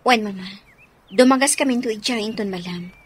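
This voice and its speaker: Fairly high-voiced Ilocano mother played by a woman. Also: brisk, slightly rough. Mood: busy, caring. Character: dutiful mother, a wronged wife. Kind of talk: Ilocano radio drama acting.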